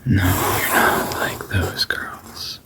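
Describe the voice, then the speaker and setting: A person reading fiction aloud entirely in a soft, unvoiced whisper, at a steady, low-key pace. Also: drawn-out words.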